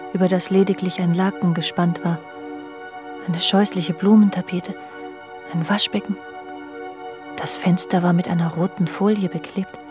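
A woman's voice: lowish voice, lightly acted. An actress playing an introspective, bitterly observant woman narrating her youth in a radio drama, sober and intimate. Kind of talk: literary first-person radio drama narration. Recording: music behind the voice. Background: music.

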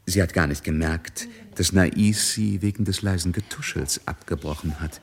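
A man acting as the wry, observant narrator of a literary radio drama, speaking in a measured, polished way. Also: low voice, vocal fry. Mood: dry irony. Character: wry, observant male narrator of a society novel.

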